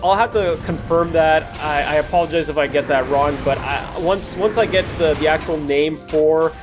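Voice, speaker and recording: A man's voice: fairly high pitch, moderate pace, over music. A man hosting a gaming video, giving casual, digressive commentary on gameplay in an apologetic manner. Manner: apologetic, digressive, often backtracking to earlier points. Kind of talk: casual gameplay commentary over recorded footage.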